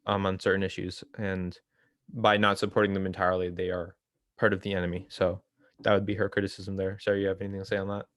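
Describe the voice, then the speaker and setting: A man's voice: sits low, level tone, fast talker, fairly bright tone, no echo, studio quality. A man hosting an informal book discussion, explaining ideas in a hesitant way and often correcting himself. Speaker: host of a political theory read-along.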